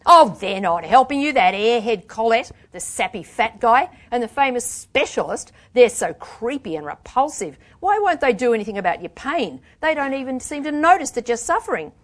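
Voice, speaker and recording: Lady reading as a fed up angry woman carer in an audiobook, high raised voice, blunt n sweary, really scathing. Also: expressive, a little fast, hardly any pauses, fairly bright tone, lightly acted. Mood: furious, accusing. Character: furious, exhausted woman carer, scathing and confrontational. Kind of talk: dramatic book reading.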